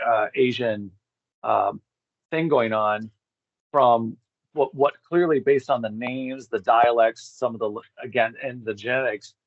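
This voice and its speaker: A man's voice: mid-range voice, no echo, lo-fi sound. A man in a casual interview, rambling and thinking aloud as he talks about history as a guest.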